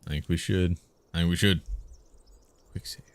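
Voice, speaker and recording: A man live streaming a text game, musing out loud in short casual phrases and sometimes reading the game text. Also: sits low, steady tone, normal speed. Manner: musing, muttered short phrases, thinking aloud.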